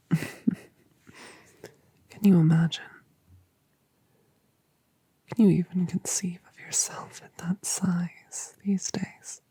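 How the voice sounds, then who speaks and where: Colossal doting dragon mother, a woman whispering her: breathy, very slow, hushed ASMR. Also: on the low side, flat delivery, no room sound, full character voice. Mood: tender. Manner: soft, slow, tender reassurance. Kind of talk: ASMR roleplay audio.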